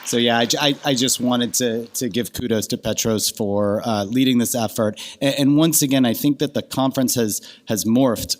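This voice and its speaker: A man co-chairing a conference session, adding brief off-the-cuff remarks in a halting way. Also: medium pitch, fairly even intonation. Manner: halting, repeating words as he starts.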